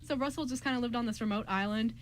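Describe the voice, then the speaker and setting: A woman's voice: fairly high pitch, fast talker, a little hiss or hum. A woman co-hosting a radio show, chatting casually in a playful, informal way. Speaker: radio show co-host and voice actor. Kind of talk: casual chat.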